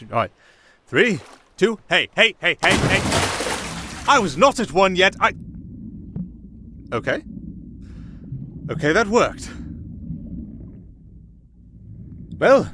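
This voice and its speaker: A man's voice: on the high side, bright, forceful tone, full character voice. Man acting a sardonic, reluctant wandering entertainer over background noise. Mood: panicked, then curious, chatty. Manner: sarcastic, wry, exasperated. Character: young male wandering entertainer, sardonic, reluctant, nervy castaway. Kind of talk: ASMR roleplay acting, one-sided dialogue.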